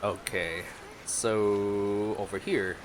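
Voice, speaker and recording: A man giving casual, halting gameplay commentary as a streamer, musing aloud and reading out dialogue choices. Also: on the low side, flat delivery, slight background noise.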